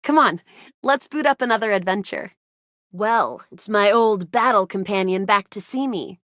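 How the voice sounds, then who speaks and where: A woman's voice: medium pitch, moderate pace, a bit bright, dry recording, clean studio sound, close to an everyday voice. A young woman voicing a living video game as a playful, eager, theatrical adventurer character. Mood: warm, playful. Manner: theatrical, emphatic.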